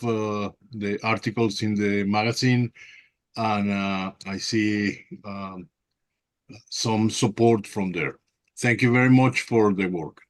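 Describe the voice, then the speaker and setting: Slow, hesitant Venezuelan man. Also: fairly low pitch. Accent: Venezuelan Spanish. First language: Spanish. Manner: hesitant, many fillers, slow. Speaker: meeting participant, ham radio operator. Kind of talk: halting online meeting remarks.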